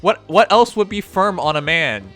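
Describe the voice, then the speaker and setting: A man's voice: sits high, lively intonation, moderate pace, sharp tone, soft background music. A man from Canada with a slight Chinese accent, who speaks English as a second language, hosting a livestream and reading chat messages aloud in a rambling, blunt, profane way. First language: not English. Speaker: livestream host.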